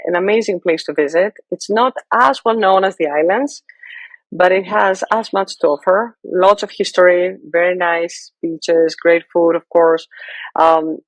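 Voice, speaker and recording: A woman with a heavy Greek accent answers interview questions in an earnest, halting way, circling back to restart her phrases. She sounds to be in her forties. The recording is dry, with no room sound. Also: fairly low pitch, no echo, low-quality recording. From Greece. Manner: earnest, with frequent restarts and fillers. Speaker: guest, political candidate.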